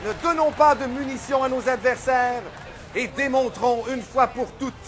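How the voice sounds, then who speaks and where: A man voicing a fervent but reasonable nineteenth-century political orator in an animated film, declaiming. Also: extremely high pitch, normal speed, a faint crowd. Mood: fervent, urging. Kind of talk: animated historical film speech.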